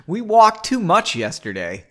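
Guy doing whiny anxious storybook girl, kinda irritable, voice pushed up high, exclaims a lot. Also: huge pitch swings, measured pace, full character voice. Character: young woman, sore, irritable and anxious, storybook character.

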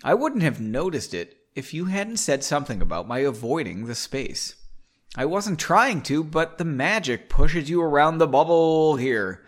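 A man's voice: on the high side, big pitch swings, measured pace. A man voicing a curious, self-assured young woman mage in a story read aloud, puzzled but assertive. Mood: matter-of-fact.

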